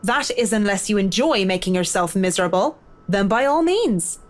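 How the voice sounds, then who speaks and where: A woman's voice: mid-range voice, lively intonation, normal speed, lightly acted. A woman voicing a blunt, self-assured interior designer in a game, speaking in a dry, lecturing way. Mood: cutting. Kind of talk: video game voice acting.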